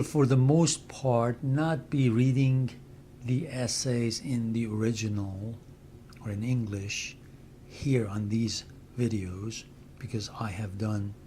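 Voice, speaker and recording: An older man from Iran with a Persian accent, speaking slowly and deliberately in an explanatory talk for his channel. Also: medium pitch, dark tone, slight background noise. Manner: measured, deliberate, with pauses. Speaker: channel host and translator. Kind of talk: slow explanatory talk to camera.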